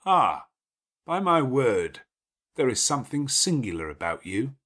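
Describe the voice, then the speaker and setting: A man voicing a gruff, commanding, teasing middle-aged gentleman with elaborate, sardonic phrasing in an audiobook. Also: medium pitch, sing-song, a little slow, voice pushed up. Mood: probing, teasing. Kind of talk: dramatic audiobook character dialogue.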